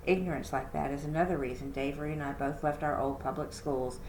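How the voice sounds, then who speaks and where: A woman reading a children's novel aloud in an expressive first-person storytelling style, like a librarian. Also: deep voice, fast talker. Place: indoor room, roomy sound. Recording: echoey room with faint noise.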